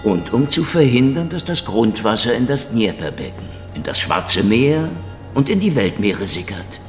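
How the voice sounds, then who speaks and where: A hardboiled Soviet detective from a noir radio play, sardonic and defiant. His pitch moves widely as he speaks, in a long reverberant space with loud music underneath. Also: very animated, normal speed. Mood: accusing, grim. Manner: sardonic, dramatic. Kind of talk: German radio drama acting with noir first-person narration.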